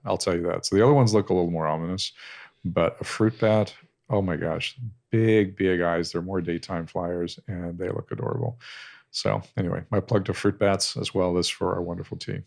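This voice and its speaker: A man's voice: sits low, steady tone, brisk, vocal fry, darkish tone. A man co-hosting a podcast with his wife, chatting casually and playfully. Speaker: podcast co-host. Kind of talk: casual chat. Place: home, Southern California.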